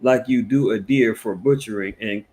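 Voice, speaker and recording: A man, hosting casual reaction commentary in an animated, emphatic, rambling way, full of repeats and fillers. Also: lowish voice, normal speed. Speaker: commentary show host.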